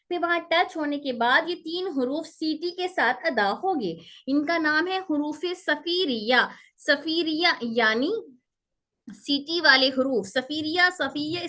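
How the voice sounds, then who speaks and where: A woman teaching a pronunciation class over a video call, explaining step by step and repeating terms and letters slowly. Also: high-pitched, sharp tone. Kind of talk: online class lecture. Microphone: computer mic on a video call.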